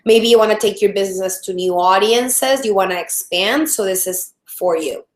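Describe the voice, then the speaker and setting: A woman's voice: mid-range voice, moderate pace. A woman with a Salvadoran Spanish accent and a mid-range voice leads a live online training. She talks in a friendly way and often restarts her sentences. Her voice carries a noticeable room echo. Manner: friendly, many fillers and restarts. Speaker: product strategist, webinar host. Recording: roomy echo.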